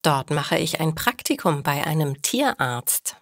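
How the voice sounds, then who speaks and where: A woman's voice: sits low, very animated, a little slow, no echo, studio quality, heavily acted. A woman reading the lines of a friendly young German woman in a storybook for learners. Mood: cheerful.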